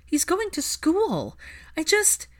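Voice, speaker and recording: A woman's voice: very high voice, huge pitch swings, slow, pitched above the performer's own voice, exaggerated voice. A woman voicing an earnest, grateful young witch with a polite, emotional manner in a story reading. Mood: tearful pride.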